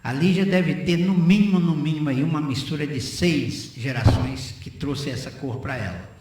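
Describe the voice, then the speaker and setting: A man's voice: a little high, normal speed, a little mellow. An older man, giving his opinions in conversational radio talk and repeating phrases for emphasis. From Northeast Brazil. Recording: very echoey room.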